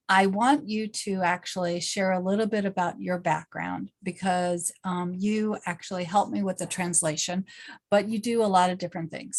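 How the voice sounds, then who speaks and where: A woman hosting an online talk show, speaking earnestly and enthusiastically, with frequent ums as she introduces her guest. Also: lowish voice. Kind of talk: interview host.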